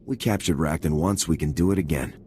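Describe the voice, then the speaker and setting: An actor playing a steady, respectful male squad leader with the rank of major in a sci-fi video game, speaking in a measured, soldierly way. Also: very deep voice, a little hiss or hum. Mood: assured. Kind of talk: video game cutscene voice acting.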